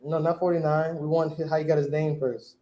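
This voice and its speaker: High-voiced man quizzing a class. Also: brisk, dull audio. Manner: quizzing, questioning; relaxed slang. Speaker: teacher. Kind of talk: Bible class teaching. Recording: echoey room.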